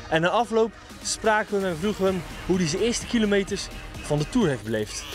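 A man's voice: high-pitched, sing-song, fairly quick, loud music behind the voice. A man reporting on location with a handheld mic, introducing and asking questions. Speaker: field reporter. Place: outdoors in street.

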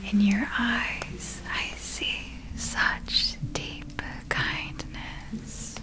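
A woman playing a tender, possessive female vampire in an ASMR roleplay, speaking in a breathy whisper with formal, archaic, lingering phrasing. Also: on the high side, little pitch movement, extremely slow, low-quality recording. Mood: yearning, adoring.

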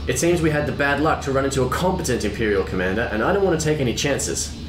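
A man playing a cocky, brisk space pilot captain in an audio drama, with breezy, bossy banter. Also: mid-range voice, animated, close to an everyday voice. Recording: roomy, music behind.

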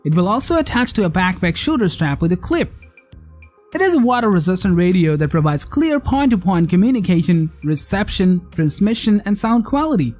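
A narrator with a mid-pitched voice delivers a scripted product review. The intonation moves up and down in a lively way, with a measured, promotional read-aloud delivery. Faint music plays behind the voice. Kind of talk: scripted narration of a tech countdown. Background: background music.

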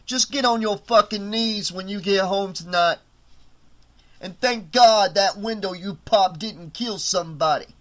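A man's voice: high-pitched, a little slow, fairly bright tone, voice pushed up. A man voicing a brutish, bullying hotel supervisor who snarls profane threats. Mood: scornful.

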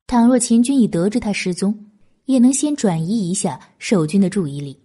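A woman's voice: medium pitch, fairly quick, warm tone, breathy edge. A woman narrating a historical novel in scripted audiobook style. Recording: background music. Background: music.

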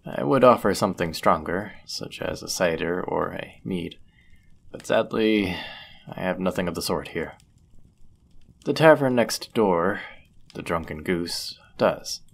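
A man acting a deep-voiced, courteous medieval innkeeper. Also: animated, gravelly, a little hiss or hum, exaggerated voice. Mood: courteous, warm. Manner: gentle, polite, measured, formal wording. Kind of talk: intimate roleplay audio acting, formal old-fashioned speech.

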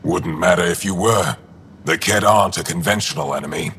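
A war-hardened alien rebel chief from a video game. The voice is deep and full of gravel. He speaks bluntly and guardedly, with dry cynicism, over faint background ambience. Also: a little fast, a bit bright, slight background noise. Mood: blunt, grim. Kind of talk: video game dialogue acting.

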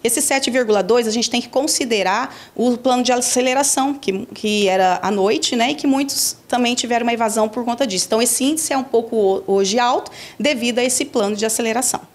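A woman in her forties, director of a school enrollment office, answering a reporter's questions in a measured, explanatory way with frequent fillers, indoors on a handheld mic. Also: a little high. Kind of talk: news interview answers.

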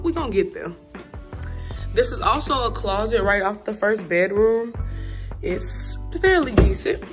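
A woman's voice: mid-range voice, fairly bright tone. A woman vlogger giving a casual, chatty tour of her new apartment, talking straight to her viewers with informal slang while filming on a phone. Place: empty apartment indoors. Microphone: phone camera held while walking.

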